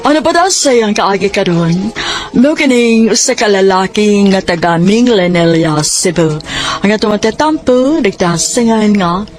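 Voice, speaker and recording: A woman with a Cebuano accent announcing a radio drama in a scripted, drawn-out theatrical style. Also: medium pitch, lively intonation. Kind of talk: scripted programme introduction. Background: music.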